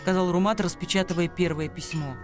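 A man's voice: high-pitched, steady tone, a little fast, faint music behind. A man reading scripted literary narration as the narrator of a radio drama.

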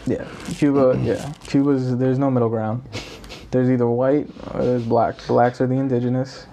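A man's voice: medium pitch, flat delivery, moderate pace, dark tone, slight background noise. A young man co-hosting a podcast, chatting casually in a rambling way, with many restarts and slang. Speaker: podcast co-host. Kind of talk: casual chat.

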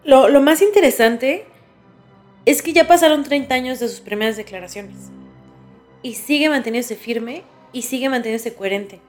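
Woman, Mexican accent, slightly high pitch, informal podcast co-host, conversational, faint background music. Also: moderate pace. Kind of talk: casual chat.

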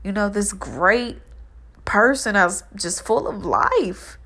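A woman's voice: mid-range voice, expressive, slow pace, creaky, a bit bright. A young woman with a stuffy, allergy-blocked nose, giving an uplifting motivational monologue that repeats its phrases and stretches out words. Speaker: vlogger.

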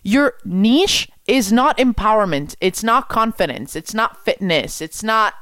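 A young woman from Quebec, a French speaker, coaching about business with energetic rhetorical questions. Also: medium pitch, a little slow, dry recording. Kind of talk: coaching talk to camera.

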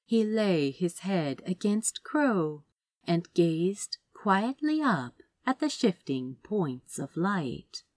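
A woman reading a story aloud as expressive scripted narration. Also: on the low side, slow, no room sound. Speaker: fan fiction audiobook reader.

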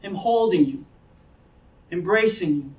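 A man's voice: high-pitched, lively intonation, measured pace. A man preaching a sermon as a pastor, teaching conversationally and earnestly, repeating phrases for emphasis. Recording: roomy, distant mic, dull sound.

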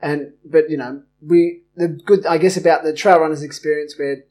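A male host with a somewhat high voice, running a casual podcast interview. He talks in a friendly, rambling way and fills his questions with "um" and restarts. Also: fairly even intonation, moderate pace, darkish tone.

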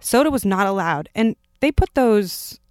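A woman in her thirties from California, a podcast guest telling stories about her upbringing in a casual, animated way. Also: medium pitch, a little slow, dry recording, clean studio sound. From Palo Alto, California. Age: 30s. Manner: animated storyteller, acts out quotes. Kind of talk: casual interview storytelling.

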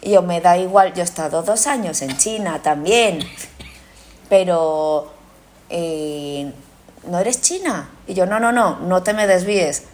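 A woman's voice: on the low side, slow pace, darkish tone, a little hiss or hum. A woman with an accent from Spain chatting casually as a podcast host, telling stories bluntly with swearing and acted-out dialogue. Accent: Spain Spanish. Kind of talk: casual chat and anecdote.

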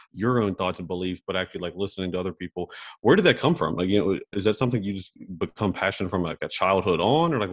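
Podcast host, very deep male voice, loose and filler-heavy questions. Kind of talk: casual interview questions.

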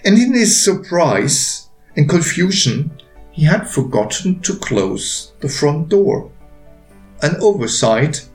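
Slow, roomy-sounding man reading a story. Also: fairly high pitch. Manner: deliberate, expressive with pauses. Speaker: author reading own story. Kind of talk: scripted storytelling narration. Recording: roomy echo, faint music behind.

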